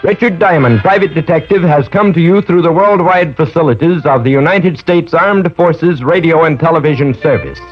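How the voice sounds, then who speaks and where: A man's voice: a little high, normal speed, few pauses. A man reading a formal scripted sign-off as a radio network announcer. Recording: muffled old radio with music.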